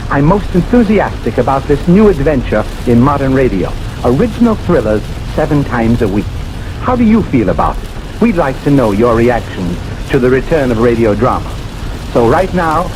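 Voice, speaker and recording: A man's voice: mid-range voice, poor audio. A man speaking as a radio drama producer, giving a scripted promotional announcement. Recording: old radio broadcast recording.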